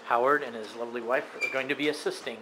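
Fast-talking man vlogging outdoors. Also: mid-range voice, fairly even intonation. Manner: quick, chatty, narrating to camera. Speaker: motovlogger. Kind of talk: casual vlog chat. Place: hotel parking lot outdoors. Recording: outdoor noise on camera audio.

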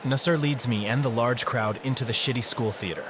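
A man's voice: medium pitch, a little fast, background noise, natural voice. A man playing a jaded, awkward teenage student in a visual novel, speaking with wry detachment. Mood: detached, wry. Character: jaded, awkward teenage male human student narrating his life. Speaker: narrator and lead voice actor. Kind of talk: first-person visual novel narration and dialogue.

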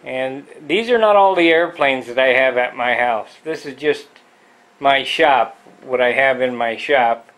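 A man giving a casual, rambling tour of his home model-airplane workshop, halting with fillers and clearing his throat, recorded on a handheld camera. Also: mid-range voice, a bit bright, rough recording. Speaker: model airplane builder, channel host. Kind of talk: casual shop tour vlog. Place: cluttered home hobby workshop, indoors.

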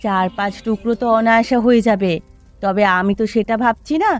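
A woman's voice: fairly high pitch, fairly even intonation, brisk, faint music behind, lightly acted. A woman voicing a dutiful, considerate young village daughter-in-law in a Bengali cartoon. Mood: earnest.

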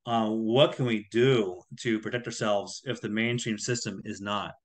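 A man's voice: fairly low pitch, moderate pace. Man hosting over a video call. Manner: relaxed, with "uh" and "you know" fillers. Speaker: podcast host. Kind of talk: introducing a guest. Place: home room with a ceiling fan. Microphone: video call webcam.

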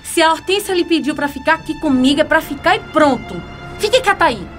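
A firm, scolding woman with a high voice. She speaks in radio soap style, with faint music behind. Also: expressive, a little fast, a bit bright. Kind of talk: Brazilian radio soap opera acting.

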